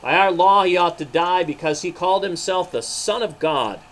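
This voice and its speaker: A man reading a children's Bible story, playing a stern high priest who makes insistent, emphatic accusations. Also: moderate pace, a bit bright, slight background noise. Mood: threatening.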